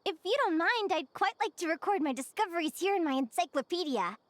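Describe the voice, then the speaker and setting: A woman playing a cheerful, curious young adventurer and naturalist in a video game, speaking in a bubbly, earnest and expressive way. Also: very high voice, fairly quick. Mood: earnest, upbeat. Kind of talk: animated video game character acting.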